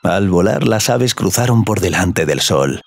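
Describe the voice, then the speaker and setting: A man's voice: low voice, animated, measured pace, gravelly, husky, dry recording. A man narrating a scripted audio story.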